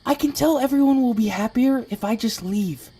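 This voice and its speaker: A woman's voice: a little high, normal speed, mellow, breathy edge. A woman playing a sincere, stubborn little male creature with wounded feelings in an amateur audio drama. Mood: hurt, resolute. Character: earnest, wounded one-eyed small male flying creature.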